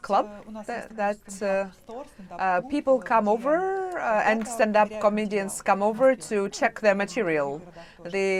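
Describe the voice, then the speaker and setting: A woman hosting an interview, chatty and enthusiastic with many pauses. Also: medium pitch. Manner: chatty, enthusiastic, halting with fillers. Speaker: host. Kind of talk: interview chat.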